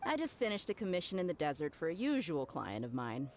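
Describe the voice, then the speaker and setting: Low-voiced, confident mercenary. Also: female voice, big pitch swings, quick pace, crowd noise. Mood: friendly. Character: confident, easygoing young woman mercenary, warm and teasing. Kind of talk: video game voice acting.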